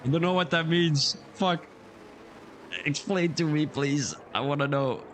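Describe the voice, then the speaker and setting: A young man giving casual livestream commentary on a sim racing league, chatting loosely and then getting excited when calling incidents. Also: a little high, measured pace, a little hiss or hum. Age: young adult.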